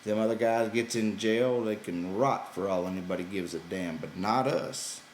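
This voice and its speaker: A man voicing a gruff, weary, irritable ranch hand with folksy rough grammar in an audiobook. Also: on the low side, moderate pace, roomy sound, slight background noise, lightly acted. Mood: rhythmic, reciting. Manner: gruff, exasperated, folksy ungrammatical speech.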